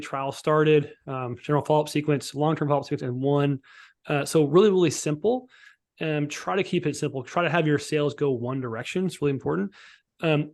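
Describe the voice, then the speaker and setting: A man giving an informal, instructional software walkthrough as a sales trainer on a live call, often checking that listeners follow. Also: a little high. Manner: informal, instructional, checks for understanding with tags like "right?" and "okay?". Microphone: online group call.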